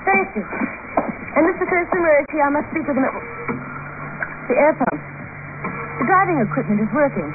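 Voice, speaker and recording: A woman's voice: high-pitched, lively intonation, over music. An actress playing a cold, treacherous female doctor, poised and menacing, in 1950s radio drama style. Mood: controlled. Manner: poised, deliberate, coolly menacing. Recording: tinny, noisy 1950 radio recording.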